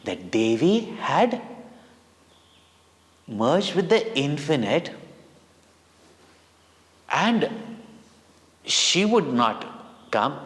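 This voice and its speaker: Casting: Indian-accented man, spiritual teacher narrating for kids, unhurried dramatic phrasing, heavy hall reverb. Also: mid-range voice, fairly bright tone. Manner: deliberate, dramatic phrasing in short pauses, simple words. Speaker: spiritual teacher telling stories to children. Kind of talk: devotional children's storytelling.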